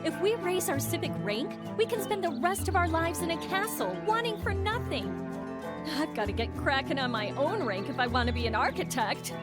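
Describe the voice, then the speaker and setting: A woman's voice: very high voice, expressive, moderate pace, over loud music. An actress playing an eager, ambitious young townswoman in a fantasy video game. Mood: excited, dreamy. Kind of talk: video game cutscene acting.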